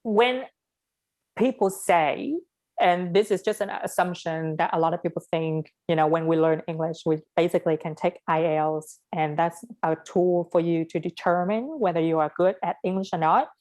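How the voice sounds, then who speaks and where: Young woman, Vietnamese accent, lowish voice, frank and animated, casual chat. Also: moderate pace. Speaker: interviewee. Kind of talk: casual conversation.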